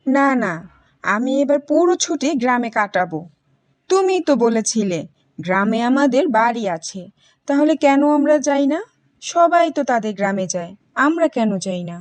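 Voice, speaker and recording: A woman's voice: a little high, sing-song, normal speed, no echo. A woman voicing a stubborn, insistent schoolboy who begs his parents to go to the village, in a cartoon. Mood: pleading. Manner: pleading, insistent. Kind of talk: cartoon voice acting.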